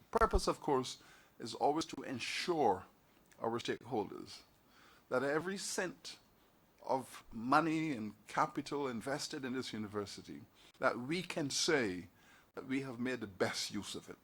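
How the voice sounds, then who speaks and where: A man from Barbados giving a formal speech to a council meeting, measured and oratorical, building his points with repeated phrases. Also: medium pitch, fairly bright tone. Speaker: university leader giving an address. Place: meeting hall.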